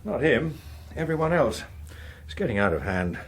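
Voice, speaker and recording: A man's voice: medium pitch, very animated, normal speed, breathy edge. An actor playing a harried, wry middle-aged English politician and father, speaking drily and articulately in a radio drama. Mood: wry, weary. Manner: dry, exasperated, articulate. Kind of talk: British radio drama acting.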